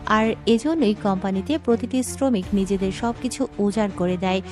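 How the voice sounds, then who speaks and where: A woman narrates a scripted audio story in a middle-pitched voice. Music plays softly behind her narration. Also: normal speed.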